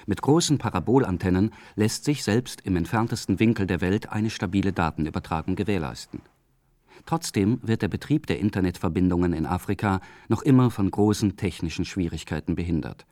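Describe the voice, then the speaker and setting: A man reading a scripted radio documentary in a calm, measured way. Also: deep voice, no room sound. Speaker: radio feature narrator.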